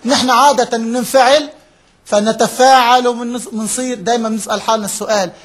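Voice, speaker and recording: A man's voice: very high voice, fairly even intonation, quick pace, fairly bright tone. A man leading a workshop, explaining step by step, checking in with his listeners and mixing English terms into his talk. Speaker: workshop trainer. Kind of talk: interactive lecture to a live group.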